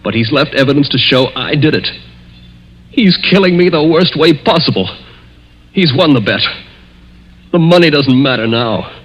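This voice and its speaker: Hunted young man, husky and fatalistic, earnest vintage radio drama delivery. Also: fairly high pitch, expressive, normal speed, pauses often, a bit bright, muffled recording. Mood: despairing. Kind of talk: 1940s radio mystery acting. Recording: vintage 1940s radio recording.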